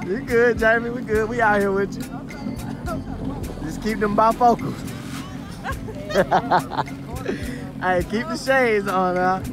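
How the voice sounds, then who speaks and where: High-voiced young American man hyping a vlog. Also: slow, long pauses, a crowd in the background. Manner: hyping, repeats phrases. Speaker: vlogger. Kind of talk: casual vlog commentary. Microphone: handheld phone. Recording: loud music over outdoor phone recording. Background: loud music.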